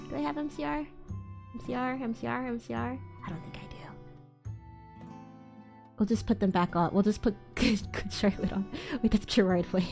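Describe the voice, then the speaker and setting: A woman livestream host doing a giggly, theatrical comedic reading, often breaking off into asides. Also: on the high side. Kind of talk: live comedic dramatic reading of fan fiction.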